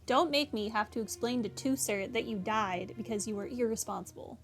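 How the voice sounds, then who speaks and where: A woman's voice: on the high side, fairly quick. A woman hosting a gaming playthrough with casual commentary, reacting and reading along. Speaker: gaming video host. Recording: faint game music behind.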